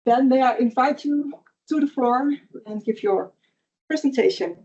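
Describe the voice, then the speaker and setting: A woman moderating a lecture, introducing the speaker in a polite, measured way. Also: high voice, mellow, slight background noise. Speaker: event moderator. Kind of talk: formal introduction. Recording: dull lo-fi sound.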